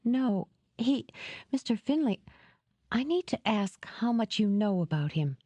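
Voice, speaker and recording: A woman's voice: mid-range voice, animated, a little slow, dark tone, no room sound, pitched above the performer's own voice. A woman voicing a fragile young woman in an audiobook, anxious, polite and faltering as she speaks. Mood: anxious, distressed.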